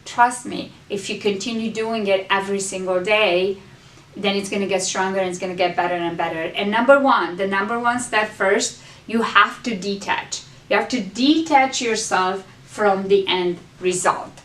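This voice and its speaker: A woman gives an earnest, coaching motivational talk and keeps repeating her key phrases to drive them home. Her voice sits at a middle pitch. She is some distance from the mic, and the room around her rings with echo. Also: normal speed, a bit bright. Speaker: host.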